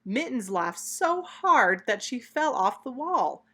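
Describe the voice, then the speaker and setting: A woman reading a children's story aloud, expressive, deliberate and emphatic. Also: on the high side.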